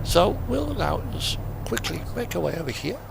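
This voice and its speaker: A man with a slightly high voice and very varied intonation gives casual gameplay commentary. He sounds like he is thinking aloud in an unhurried way. A faint vehicle hum from the game sits behind his voice. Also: sing-song, gravelly. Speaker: video game player and host.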